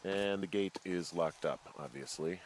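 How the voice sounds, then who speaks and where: A man playing a wary, sardonic fire lookout in a naturalistic video game style, halting and gruffly irritable. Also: low-pitched, a little hiss or hum, rough recording. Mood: wary, dry. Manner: dry, sarcastic, halting, swears freely.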